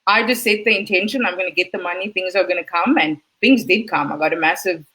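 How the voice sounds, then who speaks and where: A woman from South Africa with a South African accent, a guest in a casual interview, telling her story in a chatty, lively way. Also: lowish voice, quick pace, slightly rough. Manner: chatty, animated storytelling with laughs.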